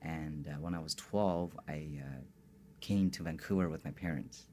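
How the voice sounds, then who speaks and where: Low voiced guy on a podcast, pauses a lot hunting for words, bit of faint hiss behind him. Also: dark tone. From Northern China. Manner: hesitant, searching for words. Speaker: guest, tea bar co-founder. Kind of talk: casual interview chat. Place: podcast studio.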